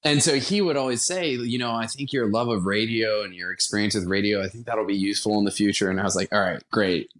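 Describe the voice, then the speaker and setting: A man's voice: on the low side, fast, few pauses, fairly bright tone. A man in his twenties from the United States, speaking as a podcast guest and telling anecdotes conversationally with light, self-deprecating humor. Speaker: podcast guest, actor and theater company founder. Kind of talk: conversational interview storytelling.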